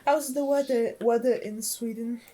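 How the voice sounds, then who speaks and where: A woman's voice: on the high side, steady tone, normal speed, mellow, faint music behind. A woman from Poland with a Polish accent, chatting casually and easily as she streams a video game. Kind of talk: casual livestream game chat.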